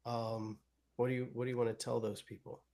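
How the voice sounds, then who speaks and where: A man's voice: medium pitch, level tone, brisk, warm tone. A man co-hosting an interview, asking long questions while searching for his words. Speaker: co-host, former instructor.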